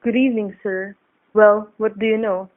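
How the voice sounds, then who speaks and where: A woman's voice: mid-range voice, steady tone, moderate pace, natural voice. A woman playing a cheerful young male motorcycle cop in a radio drama, folksy, joking and mock-formal. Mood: friendly, teasing. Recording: tinny, phone-like radio-play recording.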